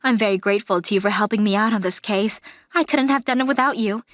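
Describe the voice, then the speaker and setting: A woman's voice: medium pitch, fast talker, no room sound, studio quality, soft background music, close to an everyday voice. A woman playing a composed, sincere company auditor in a manga dub. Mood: warm, sincere.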